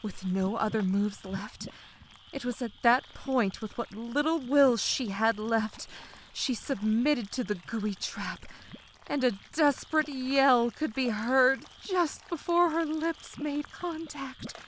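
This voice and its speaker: A woman's voice: a little high, a little hiss or hum, low-quality recording. A woman giving a scripted, dramatic story narration for a comic dub. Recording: faint bubbling mud ambience behind voice. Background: gooey quicksand sound effects.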